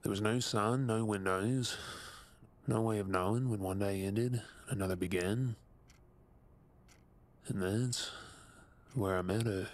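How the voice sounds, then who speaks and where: A man with an Australian accent playing a remorseful lab assistant in an audio drama, speaking in a deliberate way. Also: on the low side, fairly even intonation, lightly acted. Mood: haunted, somber. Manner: somber, deliberate, halting. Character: haunted, remorseful male former lab assistant. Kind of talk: dramatic audio roleplay acting.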